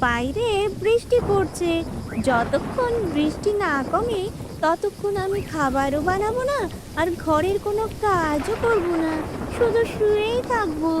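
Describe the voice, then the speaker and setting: A very high-pitched, whiny cartoon crow wife, played by a woman. She is lazy, bossy and always looking out for herself. The recording has clear background noise. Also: lively intonation, unhurried. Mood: irritated. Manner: whining, bossy, self-serving. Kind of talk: children's cartoon fairy-tale acting.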